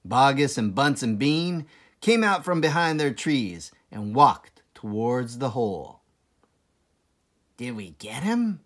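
Slow, animated man reading a storybook. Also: medium pitch. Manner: dramatic, deliberate with suspenseful pauses. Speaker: read-aloud narrator. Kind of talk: expressive children's storybook reading.

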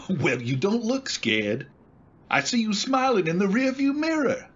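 Radio drama cabbie, played by a man: wary, colloquial, incredulous, husky voice, slightly muffled recording. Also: high voice, very animated, a little slow, a bit bright, exaggerated voice. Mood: bemused. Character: wary, exasperated working-class male cab driver. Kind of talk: old-time radio drama acting.

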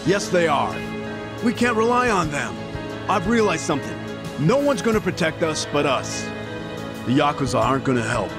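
A man's voice: fairly high pitch, very animated, normal speed, music in the background. An actor playing an earnest, fed-up middle-aged townsman in a video game. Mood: resolute. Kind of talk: English video game voice acting.

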